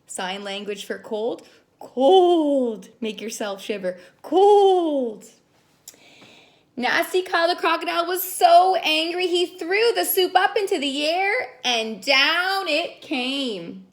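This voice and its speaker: A woman reading stories aloud to young children in an expressive, sing-song way, prompting them as she goes. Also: high voice, takes it slowly. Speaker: children's story reader and caregiver.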